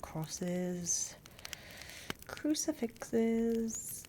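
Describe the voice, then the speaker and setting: A British woman performing an ASMR roleplay as a class instructor, mostly whispering in a breathy, gentle, conspiratorial way. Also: a little high, lively intonation, drawn-out words, noisy background. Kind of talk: ASMR roleplay, whispered and soft-spoken lesson.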